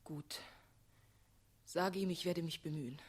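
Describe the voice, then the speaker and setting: A young heroine in a 1960s radio drama. Her voice is husky and breathy. She speaks in short, restrained, earnest phrases, grave and quietly defiant. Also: low voice, steady tone, measured pace. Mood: reluctant, dismayed. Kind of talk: 1960s German radio play acting.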